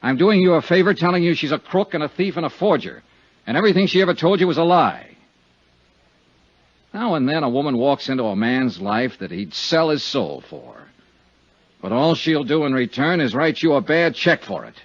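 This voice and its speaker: A hard-boiled American investigator in an old radio drama. His husky voice moves up and down a lot as he talks in terse, pointed phrases, tough but humane. The recording is dull and lo-fi, in 1950s radio style. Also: on the high side, very animated, normal speed. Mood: earnest, hard. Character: tough, persistent male insurance investigator, world-weary but humane. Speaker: insurance investigator hero and narrator.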